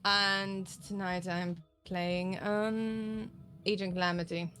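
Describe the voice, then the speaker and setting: A woman player introducing her character in a halting, dry way, with pauses and fillers. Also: mid-range voice, flat delivery, fairly bright tone, soft background music.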